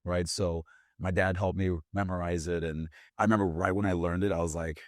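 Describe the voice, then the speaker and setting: A man in his forties, born in Brazil and native in Portuguese, telling his life story as an interview guest, animated, with frequent restarts. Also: low voice, a little fast, no echo, clean studio sound. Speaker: guest, business owner.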